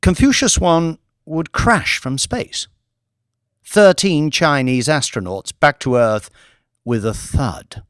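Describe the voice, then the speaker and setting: A man voicing a calm, scheming male banker who steers others with hints, in an audiobook. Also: fairly high pitch, clean tone, no echo, clean studio sound, voice pushed up. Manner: measured, leading.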